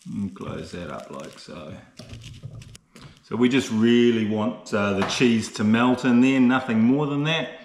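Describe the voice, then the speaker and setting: A man's voice: mid-range voice, a little slow, a little mellow. Easygoing man vlogging, some background noise. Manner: chatty, understated, frequent tag questions. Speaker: solo hiking vlogger. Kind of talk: casual vlog narration to camera.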